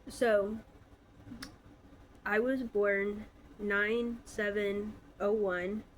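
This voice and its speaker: Slow-talking stuffy young woman. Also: medium pitch, steady tone, slight background noise. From Alabama, US. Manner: many fillers, hesitant. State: stuffy from a cold. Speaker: vlogger. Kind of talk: casual personal storytelling.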